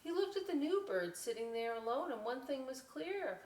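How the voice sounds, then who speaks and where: A woman reading a picture book aloud as a story time host, expressive, with chatty questioning asides to young listeners. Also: sits high, moderate pace, distant mic. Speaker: library story time reader. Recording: echoey room, away from the mic.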